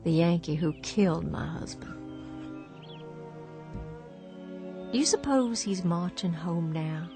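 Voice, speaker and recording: An actress playing a lonely, grieving war widow, speaking quietly. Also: female voice, lowish voice, lively intonation, moderate pace, over music. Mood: vengeful. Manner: quiet, grieving, turns hushed and vengeful. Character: lonely, bitter war widow on a ruined farm. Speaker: actress in a radio drama. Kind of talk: radio drama acting.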